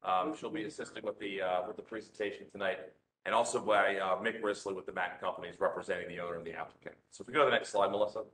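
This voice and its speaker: A man, a land use attorney, walking a community meeting through slides, loud-voiced and casual, with many fillers and restarts. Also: deep voice, steady tone, rapid-fire. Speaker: land use attorney presenting a rezoning case. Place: community meeting room, standing to speak. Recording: echoey meeting room, faint noise.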